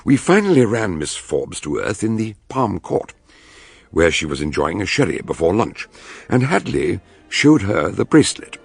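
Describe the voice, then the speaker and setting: An actor playing an eccentric, genial older English detective doctor in a radio mystery, musing and questioning with deliberate hems. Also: male voice, on the low side, sing-song, vocal fry, slightly rough, full character voice. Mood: genial narrating. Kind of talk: British radio mystery drama.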